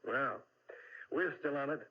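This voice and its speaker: Cynical police lieutenant: mid-pitched, teasing, worldly. Vintage radio play, muffled recording. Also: male voice, a little slow. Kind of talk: retro radio mystery acting.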